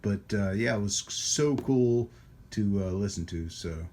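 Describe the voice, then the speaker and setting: Low-pitched man, halting and casual. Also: darkish tone, a little hiss or hum. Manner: halting, rambling delivery with frequent pauses. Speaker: host of a radio hobby channel. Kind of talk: casual hands-on product demonstration. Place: indoors at a hobby workbench.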